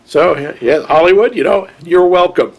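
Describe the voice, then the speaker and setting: A man's voice: sits high, normal speed, creaky, rough recording. A man co-hosting a movie podcast, bantering playfully with puns. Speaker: podcast co-host. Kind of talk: casual movie podcast chat.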